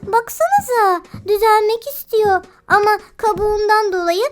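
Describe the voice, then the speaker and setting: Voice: very high-pitched, measured pace. A playful host of a children's game video with an exclamatory, giggly, cooing way of talking to cartoon animals. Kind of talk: playful children's gameplay commentary. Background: children's music.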